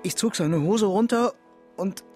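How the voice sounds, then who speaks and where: Volatile criminal confessing, slightly high male voice, intense, guilt-ridden, radio drama, faint music. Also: measured pace, a little mellow. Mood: guilty, haunted.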